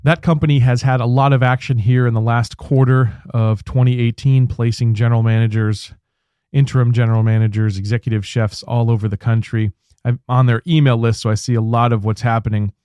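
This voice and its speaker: A man hosting a radio interview show, chatting casually and warmly with his guest, with fillers and restarts. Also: mid-range voice, little pitch movement, brisk, smooth, no room sound.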